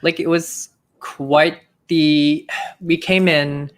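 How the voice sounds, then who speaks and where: A man's voice: a little high, steady tone, drawn-out words. A young man from Canada speaking reflectively and hesitantly on a video call, leaning on "you know" and "like". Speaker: dancer. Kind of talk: casual group chat.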